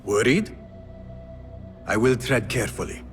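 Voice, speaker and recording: An actor playing an earnest, determined young assassin in a video game, speaking deliberately and with quiet confidence. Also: male voice, medium pitch, expressive, lightly acted. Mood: determined, confident. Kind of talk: dramatic video game acting. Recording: faint music behind the voice.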